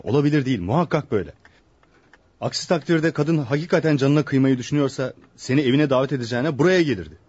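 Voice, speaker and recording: An actor as a sceptical man in a radio play, talking very fast in a husky voice. He is teasing and dismissive, quick to argue with his friend. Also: medium pitch, darkish tone, dull audio, natural voice. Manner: dismissive, argumentative. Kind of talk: old radio theater acting.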